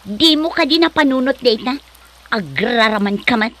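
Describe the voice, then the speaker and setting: A woman's voice: fairly high pitch, animated, normal speed, a bit bright. A woman playing a haughty, ambitious young woman in an Ilocano comedy drama, sassy and disdainful, with an Ilocano accent. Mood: scornful, mocking.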